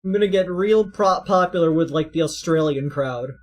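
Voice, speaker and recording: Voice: mid-range voice, moderate pace, a little mellow. A game streamer talking in a casual, chatty way while playing. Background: game music.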